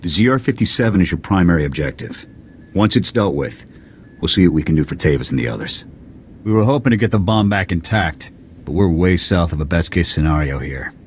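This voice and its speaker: Very deep-voiced stern military commander. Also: male voice, lively intonation, brisk, a little hiss or hum. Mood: stern, grave. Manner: authoritative, commanding, clipped military delivery. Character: stern, gruff male military commander briefing his soldier. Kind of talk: video game cutscene dialogue, military briefing.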